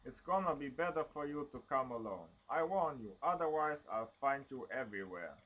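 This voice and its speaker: A man's voice: mid-range voice, animated, a little fast, fairly bright tone, rough recording. A man playing a menacing, aggressive fat father who makes threats in an amateur radio play.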